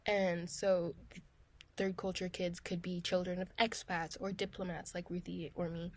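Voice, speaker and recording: A woman's voice: fairly low pitch, flat delivery, a little slow, a little hiss or hum. A young woman co-hosting a podcast, talking casually and hesitantly, with many filler words. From Tanzania. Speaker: podcast co-host. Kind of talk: casual chat.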